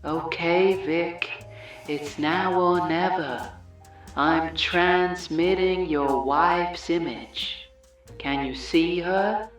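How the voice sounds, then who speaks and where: Actress as menacing lab seductress for radio play, lowish voice, measured commands, drenched in echo and set back from the mic. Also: female voice, soft background music. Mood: clinical. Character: controlling seductive female experimenter, cool and menacing. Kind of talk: audio play acting. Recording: very echoey, distant-sounding voice.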